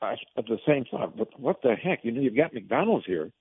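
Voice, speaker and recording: A man's voice: mid-range voice, big pitch swings, a little fast, breathy edge. A man calling in to a radio show by phone, chatting casually in a folksy, easygoing way. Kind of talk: casual chat. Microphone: telephone. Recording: dry, tinny phone line.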